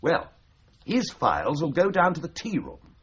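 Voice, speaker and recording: A man's voice: a little high, wildly swooping pitch, normal speed. A breezy English solicitor in a 1980s radio play. His delivery swoops theatrically, droll and mock-pompous. The sound is a dull old broadcast with faint noise. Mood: drily instructive. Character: breezy, flippant, theatrical solicitor in a stuffy London office. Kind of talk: 1980s radio drama acting.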